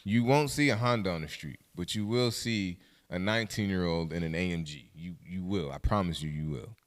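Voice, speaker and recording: A young man from Southern California hosting a casual podcast chat, animated and cursing freely. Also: low voice, a little fast, long reverb tail. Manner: animated, swearing, laughing often. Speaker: podcast host.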